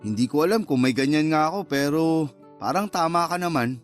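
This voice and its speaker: A man's voice: a little high, expressive, a little fast, faint music behind, natural voice. An actor playing a hesitant but candid young man in a radio drama, casual and mildly defensive as he talks. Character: hesitant, candid younger man who courts older women. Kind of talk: conversational radio drama acting.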